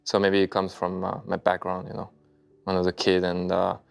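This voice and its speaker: Deep creaky-voiced man, halting English. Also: completely flat delivery, soft background music. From China. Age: 30s. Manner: halting, searching for English words. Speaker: interview guest, poker player. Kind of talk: casual interview answers.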